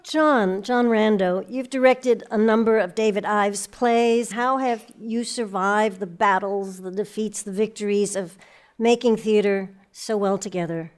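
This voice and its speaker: A woman hosting a live panel interview on stage, phrasing her questions in a measured, deliberate way. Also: medium pitch, steady tone. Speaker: host and interviewer. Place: stage recording before a live audience.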